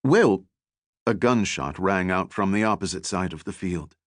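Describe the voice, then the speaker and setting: Male narrator, scripted fiction, lowish pitch, measured and dramatic, very dry studio sound. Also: fairly bright tone, no echo. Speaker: audiobook narrator.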